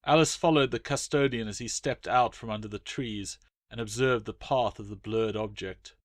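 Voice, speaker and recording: A man's voice: lowish voice, moderate pace, no room sound, clean studio sound. A man reading his own short story aloud as a dramatic, scripted narration.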